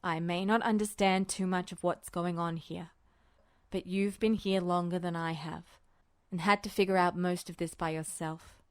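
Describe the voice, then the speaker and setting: A woman voicing a calm older man who speaks quietly and steadily, reassuring, in an audiobook-style story reading. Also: on the low side, moderate pace, clean tone, no room sound, studio quality, pitched below the performer's own voice, close to an everyday voice. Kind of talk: audiobook fan fiction reading.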